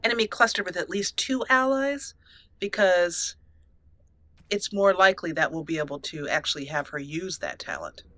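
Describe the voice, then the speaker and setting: Bright, upbeat woman hosting a game playthrough. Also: lowish voice, lively intonation, moderate pace. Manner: upbeat, chatty, reads game text aloud. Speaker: let's play host. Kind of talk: casual gameplay commentary with roleplay narration. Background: faint game music.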